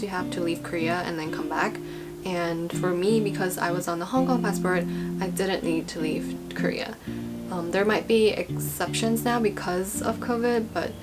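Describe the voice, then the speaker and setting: Casual young woman vlogger over guitar music. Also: medium pitch. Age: young adult. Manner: chatty, rambling, informal. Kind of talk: casual informational vlog talk. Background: background guitar music.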